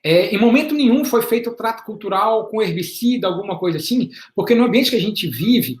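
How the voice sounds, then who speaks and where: A man giving a slide presentation as an invited panelist in an online talk. He speaks in a halting, explanatory way, repeats words and often checks in with a questioning "right?". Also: high voice, lively intonation. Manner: explanatory, measured. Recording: roomy echo on an online call.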